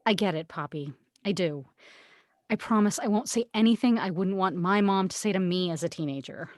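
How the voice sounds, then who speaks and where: A woman's voice: medium pitch, fairly quick, dry recording, studio quality, lightly acted. A woman reading the part of a loving, superstitious mother who anxiously looks out for her daughter, in an amateur script read. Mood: warm, reassuring.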